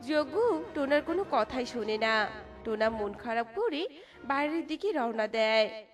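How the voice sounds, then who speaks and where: Lively high-voiced woman narrator. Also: a little slow, soft background music. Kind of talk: scripted storybook narration.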